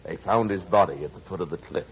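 A man's voice: sits low, fast talker. An actor playing a hesitant, apologetic man bearing bad news in a 1940s radio drama. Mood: grave, hesitant. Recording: noisy, dull old radio recording.

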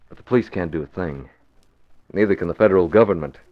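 An actor playing a composed, authoritative newspaper publisher with a measured, decisive manner, in 1940s radio style. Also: male voice, low-pitched, lightly acted. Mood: grave, resolute. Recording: muffled vintage 1940s radio recording.

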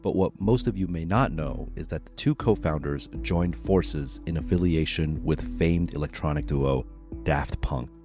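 A man with a low voice hosts a studio podcast, reading a polished scripted introduction and then chatting casually with his guests. Music plays clearly under his intro. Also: unhurried, dark tone. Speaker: podcast host. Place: New York studio.

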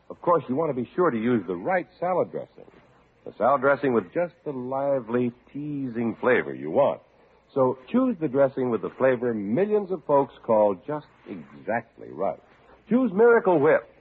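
Animated, husky vintage radio announcer man. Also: medium pitch. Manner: polished salesmanlike delivery. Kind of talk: 1940s radio ad and story narration. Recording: dull, lo-fi old radio recording.

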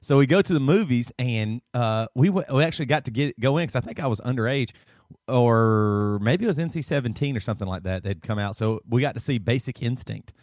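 A man in his late thirties with a Southern drawl, co-hosting a casual podcast. He tells long, rambling stories and laughs often at his own jokes. His voice sits at a middle pitch, recorded close and dry. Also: expressive, a little fast, a little mellow, no echo. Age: 30s. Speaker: podcast co-host. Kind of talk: casual chat.

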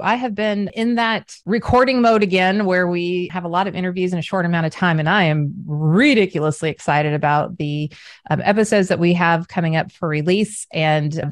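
A woman's voice: fairly low pitch, no room sound. An enthusiastic woman hosting a business podcast and introducing her guest. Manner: enthusiastic, upbeat. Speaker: podcast host. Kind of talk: podcast interview.

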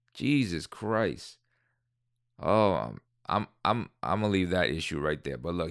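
Deep-voiced man reading and ranting. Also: fairly bright tone, no room sound, clean studio sound. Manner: indignant, rambling asides with restarts. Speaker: podcast host. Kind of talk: reading aloud and commenting, casual.

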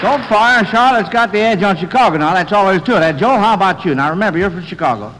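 A man hosting an old-time radio quiz show for children, speaking in a folksy, halting, chatty way. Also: high voice, animated, over a noisy crowd, heavy background noise. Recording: vintage radio broadcast.

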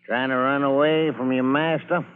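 An actor in a vintage radio drama playing a gruff, taunting Confederate soldier with a commanding, folksy manner. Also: male voice, mid-range voice, fairly even intonation, moderate pace, close to an everyday voice. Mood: mocking. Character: gruff, bullying Confederate soldier on sentry duty. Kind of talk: 1950s radio drama acting. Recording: muffled old radio broadcast.

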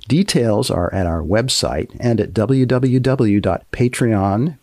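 A man hosting a podcast, reading scripted narration in a measured, deliberate, dryly deadpan way. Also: on the low side, gravelly, slightly rough, no room sound. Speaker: podcast host.

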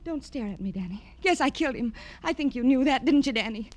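An actress playing a desperate, unhinged lovesick killer in a 1950s American radio drama, pleading intensely and melodramatically. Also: female voice, sits high, animated, fast. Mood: feverish confession.

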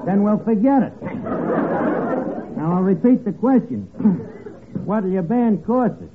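Brash, high-pitched New barkeeper. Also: male voice, unhurried, a loud crowd. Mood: haggling, sly. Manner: brash, slangy, mangled grammar, deadpan comebacks. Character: wisecracking, tightfisted lowbrow barkeeper, a man. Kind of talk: 1940s radio comedy acting. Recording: tinny, noisy vintage radio broadcast.

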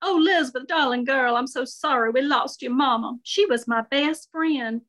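A woman's voice: high-pitched, moderate pace, a bit bright, voice pushed up. Woman voicing a gushing old lady. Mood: sympathetic. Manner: gushing, outspoken, calls everyone darling and honey. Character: chatty, nosy seventy-seven-year-old Southern lady.

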